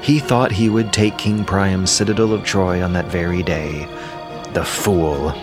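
Deep-voiced man narrating an epic. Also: steady tone. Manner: measured, theatrical storytelling. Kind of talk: dramatic scripted epic narration. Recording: music under the narration. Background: music.

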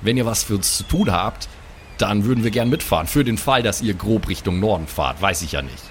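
A German man with a low voice plays an old seafarer in a live tabletop game, gruff and chummy. Faint background noise sits under the recording. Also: animated, fairly quick. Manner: gruff, chummy, sailor talk with stage directions. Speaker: player voicing an old sailor. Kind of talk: improvised in-character roleplay.

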